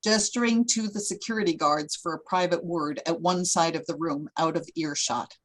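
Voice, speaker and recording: A woman's voice: on the low side, moderate pace. A woman reading stage directions as the narrator of a screenplay.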